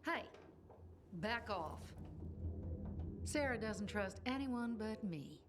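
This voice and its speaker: A woman's voice: fairly high pitch, lively intonation, takes it slowly, music in the background. An actress playing a confident, protective young female fighter in a video game, blunt and self-assured but open. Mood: firm, confident. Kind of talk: video game cutscene acting. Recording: clear background noise and ambience.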